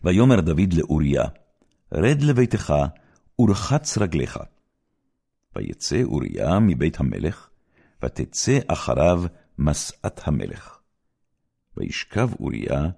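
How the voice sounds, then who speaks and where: Deep, gravelly man reading scripture dramatically. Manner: solemn, measured, expressive storyteller delivery.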